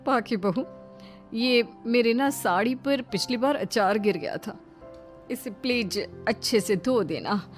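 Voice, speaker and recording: A woman's voice: fairly high pitch, slow, faint music behind. A woman voicing a bossy, possessive older mother-in-law in a cartoon, emphatic, coaxing and imperious.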